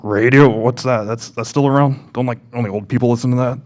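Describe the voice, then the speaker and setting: Man acting as offhand young worker, middle pitch, casual, amateur radio theater. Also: fairly even intonation, fairly quick, darkish tone, lightly acted. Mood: offhand, puzzled. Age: young adult. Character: offhand grocery store worker and radio student. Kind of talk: amateur scripted radio play.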